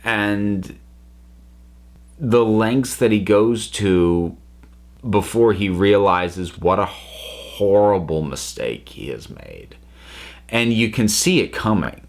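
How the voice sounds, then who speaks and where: A man giving an unscripted review to viewers, speaking emphatically and haltingly as he thinks aloud. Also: fairly low pitch, expressive, creaky. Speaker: reviewer and channel host.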